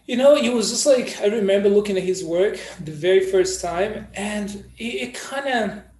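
A man from Brazil, a guest photographer telling stories in a casual interview, with a relaxed style and lots of "you know" fillers. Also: high-pitched, moderate pace, reverberant.

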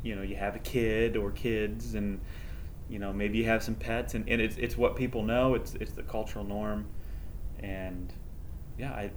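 A man with a Midwestern American accent chats in an easygoing, agreeable way, often nodding along in words. His voice sits a little low, and a faint rumble hums behind him. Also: steady tone, normal speed, poor audio. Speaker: high school teacher co-host. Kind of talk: casual chat.

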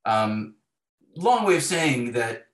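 A man talking reflectively and haltingly as a novelist being interviewed, often voicing imagined remarks. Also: mid-range voice, big pitch swings. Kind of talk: thoughtful conversational interview. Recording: roomy, echoing sound.

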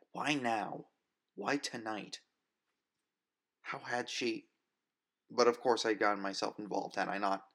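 A man with a fairly low-pitched voice narrates a story. He delivers scripted first-person fiction dramatically, in the manner of an audiobook reader. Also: fairly bright tone.

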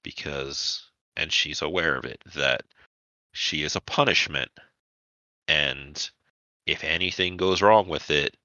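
Man, extremely low pitch, sharp intense tone, game master narration, measured, close dry sound, dulled recording. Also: dry recording, lo-fi sound. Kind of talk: narrating a tabletop vampire roleplaying game.

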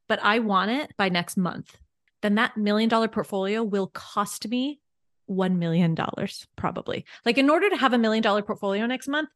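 Woman coach on a podcast, eager, talks it through step by step, mid pitch. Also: a bit bright, dry recording, studio quality. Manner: enthusiastic, explains step by step with worked examples. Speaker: financial coach, podcast guest. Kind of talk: casual podcast interview.

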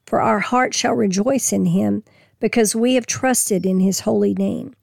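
Woman Bible teacher, hoarse and folksy, reads verses slowly, gravelly voice. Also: mid-range voice, a little mellow, no echo, clean studio sound. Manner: folksy, reads scripture slowly then explains plainly. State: losing her voice. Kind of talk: casual Bible teaching with scripture reading.

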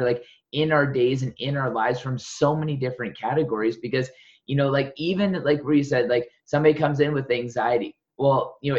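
A man hosting a podcast rambles through long, meandering questions, filling them with "like" and "you know." His voice comes through slightly dull. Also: medium pitch. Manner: rambling, many filler "like"s. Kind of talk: podcast interview.

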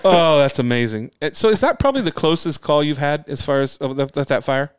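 A male podcast host chatting casually, reacting quickly and laughing along with his guest. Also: on the high side, lively intonation, clean tone, dry recording, clean studio sound. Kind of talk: casual interview chat.

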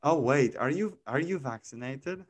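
A man's voice: medium pitch, lively intonation, unhurried, dry recording. A man hosting a casual chat, asking curious questions with frequent stammered restarts. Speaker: host.

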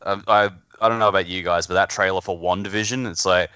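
A man chatting casually on a podcast in a halting, repetitive, sweary way, on a slightly dull lo-fi microphone. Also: low-pitched, fairly even intonation, sharp tone, clean tone, no room sound. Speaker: podcast panelist. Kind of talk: casual pop-culture chat.

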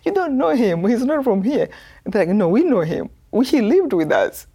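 A woman from Rwanda in her fifties with a Rwandan accent, telling her life story as a guest in an earnest, soft-spoken way. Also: a little high, sing-song, moderate pace, husky. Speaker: guest, author.

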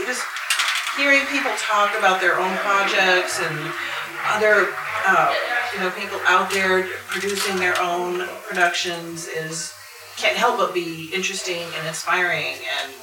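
A middle-aged woman talking casually as a panelist at a live convention, thinking aloud in a conversational way. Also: fairly low pitch. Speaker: convention panelist and audio drama voice actor. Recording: roomy, distant mic, noisy live room.

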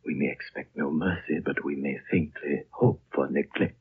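An actor playing an ancient, wise and grave lama with a husky voice, solemn and oratorical in a 1930s radio drama style. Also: male voice, rumbling low, steady tone, normal speed. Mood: solemn, hushed. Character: ancient wise High Lama, gentle, grave, foreboding prophet. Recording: muffled vintage 1930s radio recording.

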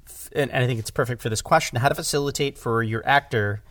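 A man's voice: mid-range voice, a bit bright, dry recording. Man in casual, dry close-sounding conversation. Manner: effusive, halting with repeated phrases. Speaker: podcast speaker, audio drama director.

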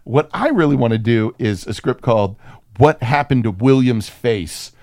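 A man's voice: mid-range voice, animated, moderate pace, darkish tone, dry recording, clean studio sound. A man in casual comedic chat as a podcast co-host, riffing irreverently and swearing freely.

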